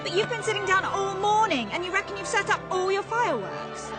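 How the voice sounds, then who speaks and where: A woman voicing a cheeky, bossy, teasing young woman in a comic animated game. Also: very high voice, a little fast. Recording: loud music behind.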